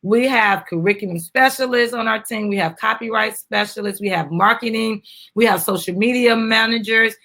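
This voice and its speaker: A woman's voice: a little high, normal speed, fairly bright tone. A woman chatting casually to viewers as she hosts a live stream and promotes a children's book. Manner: chatty, addresses viewers as guys.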